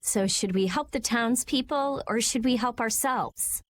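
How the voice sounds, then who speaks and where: An actress playing a blunt, sharp-tongued but caring young girl in a video game. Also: fairly high pitch, unhurried, no room sound, natural voice. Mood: matter-of-fact. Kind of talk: video game cutscene acting.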